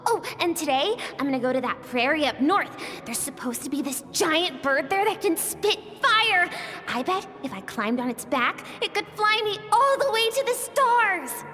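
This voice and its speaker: A woman's voice: very high voice, very animated, moderate pace, bright, forceful tone, full character voice. A woman voicing a bold, curious little girl with a childlike, headstrong manner in an anime game. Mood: excited, cheeky. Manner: childlike, headstrong, wistful when alone. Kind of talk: dubbed anime game acting. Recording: long reverb, music behind.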